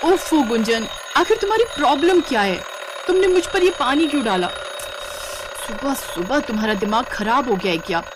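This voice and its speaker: Lady doing a fed up young wife in a story, high n naggy, sharp tongue, noisy behind. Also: lively intonation, normal speed. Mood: annoyed. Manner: complaining, scolding. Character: irritable, frustrated young new bride, sharp-tongued.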